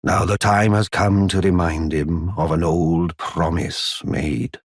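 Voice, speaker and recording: A man voicing a gentle, wise giant blacksmith and war counselor in a fantasy game, speaking in a courteous, solemn, formal way with a deep, husky, gravelly voice. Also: little pitch movement, takes it slowly, no echo, clean studio sound. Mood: grim. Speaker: video game character. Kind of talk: scripted fantasy game dialogue.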